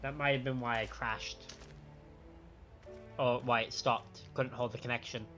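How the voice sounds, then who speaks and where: Bright-voiced man streaming a game. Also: mid-range voice, fairly even intonation, unhurried, highs cut off. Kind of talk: casual live game commentary. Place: live stream, voice over game audio. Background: faint game music.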